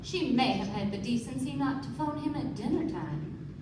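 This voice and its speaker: A woman's voice: on the high side, expressive, moderate pace, distant mic, close to an everyday voice. A woman playing a cool, gossipy young sportswoman with a theatrical drawl in a live radio play. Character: cool, gossipy young female golf champion. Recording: echoey stage recording from afar, noisy.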